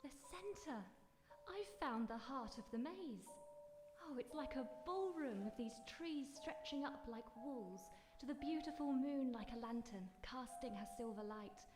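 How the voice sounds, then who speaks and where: A woman playing a headstrong, dreamy sixteen-year-old princess in an expressive, theatrical fairy-tale radio drama. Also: sits high, measured pace. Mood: awed, dreamy. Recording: echoey hall, music behind.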